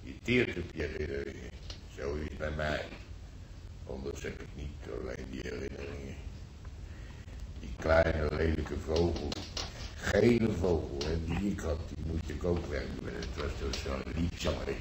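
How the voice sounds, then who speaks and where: An actor playing an old, easily agitated grandfather in a radio play, rambling and repeating himself haltingly. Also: rumbling low. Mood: wistful. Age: older. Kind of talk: radio drama acting. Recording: roomy, distant, faintly noisy old radio recording.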